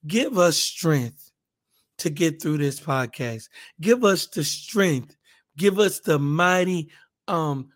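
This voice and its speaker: A man's voice, hoarse and raspier than usual, sitting a touch above typical pitch. He talks slowly and casually as a Bible podcast host, rambling a little, and turns fervent when he prays. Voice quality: raspy.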